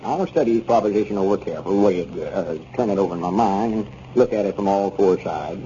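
An actor playing a folksy, gullible, self-important country storekeeper with a rural Southern American accent, rambling and muddling homespun sayings in a 1930s radio comedy. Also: male voice, low-pitched, quick pace, mellow, close to an everyday voice. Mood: self-assured. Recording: muffled 1930s radio recording, faint noise.

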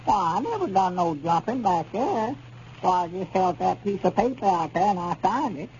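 High voiced naive old arkansas hillbilly storekeeper, rambly and literal, muffled old radio comedy. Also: male voice, very animated, normal speed, darkish tone. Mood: earnest, literal. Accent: rural Arkansas. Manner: folksy, rambling, literal-minded. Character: naive, literal-minded old country storekeeper, worried and chatty. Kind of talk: 1930s radio comedy acting. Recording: muffled, noisy 1930s radio recording.

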